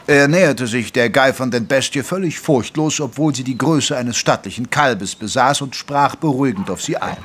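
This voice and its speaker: An actor playing a detective's gentlemanly doctor companion, telling the story in wry, literate, dramatic narration. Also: male voice, mid-range voice, normal speed, few pauses, husky. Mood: wry, suspenseful narration.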